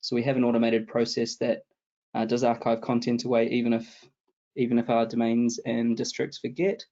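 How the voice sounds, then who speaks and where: Man, New Zealand accent, mellow tone, steady explanatory manner, muffled video call audio. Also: medium pitch, brisk. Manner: steady, explanatory, starts answers with "absolutely". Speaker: support consultant, guest. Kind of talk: webinar explanation. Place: home room with bookshelf, indoors. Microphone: video call, laptop mic.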